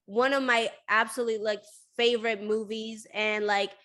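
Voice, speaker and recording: Bubbly woman host from Sweden, higher voice, bright, casual interview chat. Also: fairly even intonation, normal speed. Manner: bubbly, lots of "like" fillers.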